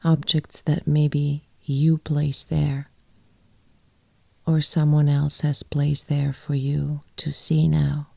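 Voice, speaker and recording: A woman guiding a yoga nidra meditation in a soft, breathy, often near-whispered voice, with gentle phrases and long pauses. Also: deep voice, completely flat delivery, no room sound. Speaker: yoga nidra teacher and guide. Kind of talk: guided meditation, soft and soothing.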